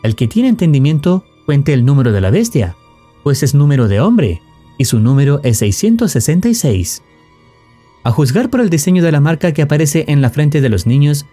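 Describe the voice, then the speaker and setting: Mellow higher-pitched man narrating a story over music. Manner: formal, measured storytelling. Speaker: narrator of horror video recaps. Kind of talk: scripted narration.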